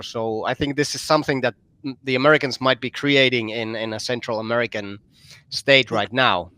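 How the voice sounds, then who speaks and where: A man's voice: medium pitch, a bit bright. A man from Finland speaks in a mid-range voice, thoughtful and often hesitating over his words. He puts his questions in a casual panel chat. The sound is dry and close, with no room echo. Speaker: podcast co-host, commentator.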